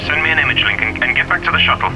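An actor playing a stern, dutiful spaceship captain in a science fiction audio drama, formal and exasperated. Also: male voice, medium pitch, expressive, racing, music in the background, natural voice, low-quality recording. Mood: urgent.

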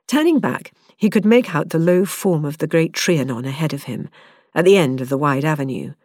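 A woman reading an audiobook passage in measured, descriptive narration. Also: low-pitched, expressive, darkish tone, dry recording, clean studio sound. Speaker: audiobook reader. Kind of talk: scripted narration.

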